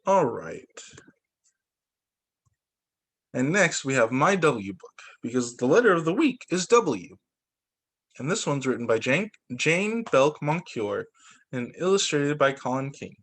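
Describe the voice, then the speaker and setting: A man working as a teacher, reading children's storybooks aloud in an expressive way. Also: mid-range voice, a little slow. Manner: expressive, acts out dialogue for young children.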